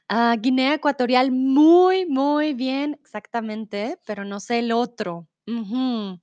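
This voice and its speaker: A woman's voice: on the high side, dry recording, muffled recording. A young adult woman from Colombia with a Bogotá accent, teaching a live online Spanish class in a chatty way. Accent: Bogotá Colombian, with a little Mexican. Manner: chatty, reads out chat messages, switches to English and German.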